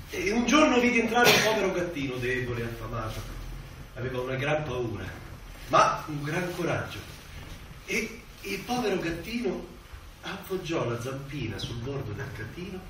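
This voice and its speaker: An actor playing a grandiose oil boss on stage, declaiming folksy parables with dramatic swells and drops. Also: male voice, on the high side, close to an everyday voice. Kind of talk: theatrical stage monologue. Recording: echoey noisy stage, far from microphone.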